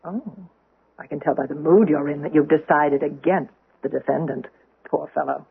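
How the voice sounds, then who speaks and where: Husky, plain-spoken housekeeper. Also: female voice, low voice, steady tone, normal speed, full character voice. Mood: brisk, scolding. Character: plain-spoken, inquisitive, nagging housekeeper woman. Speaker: actor in radio drama. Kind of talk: old-time radio drama acting. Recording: muffled, dry vintage radio recording.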